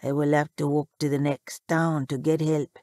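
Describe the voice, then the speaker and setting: A woman's voice: sits low, level tone, normal speed, a little mellow, no echo, clean studio sound, voice pushed down, close to an everyday voice. A woman voicing a humble, nervous little male cab driver, deferential and grave, in a story. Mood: apologetic.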